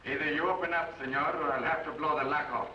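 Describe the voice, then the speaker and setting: A man's voice: a little high, quick pace, natural voice. A man playing a suave, menacing bandit captain with a put-on Mexican accent and mocking courtesy, in an old radio drama. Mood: mocking polite. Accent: put-on Mexican Spanish. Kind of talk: 1950s radio drama acting. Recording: muffled old radio broadcast with hiss.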